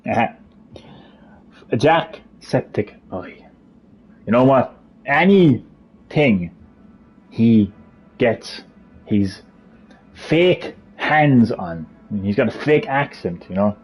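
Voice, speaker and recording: A man's voice: medium pitch, expressive, takes it slowly, pauses often. Man, Cork Irish accent, profane joking streamer, muffled stream audio, faint game music. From Ireland. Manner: profane, jokey, ranting. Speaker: livestream host. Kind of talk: casual live stream chat with viewers.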